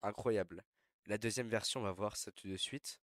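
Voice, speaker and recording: A man's voice: on the low side, normal speed, no room sound, studio quality. A young man with a cold talking through a casual, rambling screen tutorial, full of fillers. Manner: relaxed, rambling with many fillers. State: stuffy from a cold. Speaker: tutorial host.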